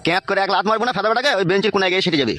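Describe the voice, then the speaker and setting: Man playing a rapid-fire bullying gang boss. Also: high voice, sharp tone, faint music behind. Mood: bossy, abusive. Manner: swaggering, abusive, bossy. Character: foul-mouthed, bullying village club gang boss. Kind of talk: Bengali village comedy cartoon dialogue.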